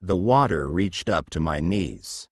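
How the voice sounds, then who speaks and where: A man reading a children's textbook story as scripted narration, in a measured, clear manner meant for learners. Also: deep voice, lively intonation, dry recording, clean studio sound.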